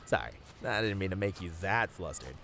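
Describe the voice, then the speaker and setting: A man playing a sweet, bashful boyfriend in a romantic ASMR roleplay, talking tenderly in a flustered, halting way. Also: low voice, expressive, vocal fry, a bit bright, a little hiss or hum, heavily acted. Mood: earnest, apologetic. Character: sweet, bashful, rambling boyfriend on a picnic date.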